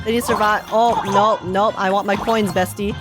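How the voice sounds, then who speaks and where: A woman's voice: medium pitch, steady tone, moderate pace, music in the background. A young woman live-streaming a game with casual, slangy reactions, expressively repeating phrases for effect.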